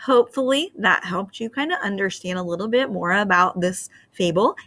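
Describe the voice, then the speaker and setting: A woman's voice: medium pitch, normal speed, dry recording. A middle-aged woman teacher reading a storybook aloud with expression, then explaining its moral to students over a webcam. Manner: expressive and animated. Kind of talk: storybook read-aloud and lesson. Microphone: webcam on a screen share.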